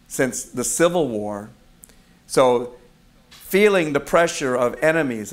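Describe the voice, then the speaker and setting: A middle-aged man preaching to his congregation at an ordinary middle pitch. He tells his stories loosely and conversationally, pausing on fillers and correcting himself as he goes. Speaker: rabbi of a congregation. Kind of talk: sermon.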